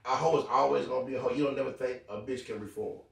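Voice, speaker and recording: A man hosting a comedy podcast, rambling and repeating himself, breaking into loud laughs, sounding a little hoarse. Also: medium pitch, very fast. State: says he is hoarse. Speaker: podcast host. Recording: echoey room, distant mic, faint hiss.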